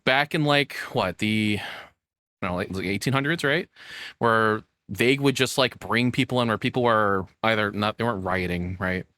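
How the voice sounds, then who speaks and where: A man's voice: lowish voice, normal speed, pushed and bright, no echo. A man giving blunt, opinionated, off-the-cuff commentary as a streamer, with casual swearing. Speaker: streamer reacting to a video.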